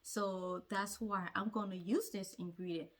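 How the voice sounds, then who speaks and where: A woman's voice: mid-range voice, fairly even intonation, unhurried. A woman vlogger telling a personal story to camera in an earnest, emphatic way, repeating phrases to drive points home. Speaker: hair-care vlogger.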